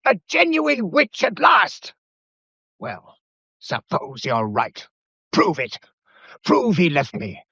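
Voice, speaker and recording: An actor playing a cornered, defiant murderer in a radio melodrama, taunting and theatrical. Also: male voice, a little high, huge pitch swings, slow pace, pauses often, pushed and bright, breathy edge, no echo, exaggerated voice. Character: cornered, defiant murderer, an adventurer feigning lameness. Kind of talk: mid-century radio melodrama acting. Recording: dull, muffled vintage radio recording.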